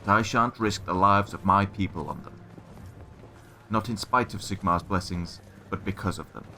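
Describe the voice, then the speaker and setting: A man's voice: sits low, normal speed, bright, forceful tone, soft background music. A man playing a stern, weary guard captain in a fantasy audio drama, speaking formally and guardedly. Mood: stubborn, formal.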